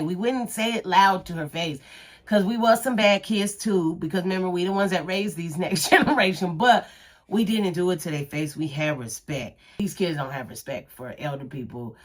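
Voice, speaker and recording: A woman in her thirties talks straight to her audience in a casual monologue. Her voice sits low, and she leans hard on her points, sometimes sounding preachy. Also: normal speed. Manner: animated and emphatic, preachy. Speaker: commentary vlogger.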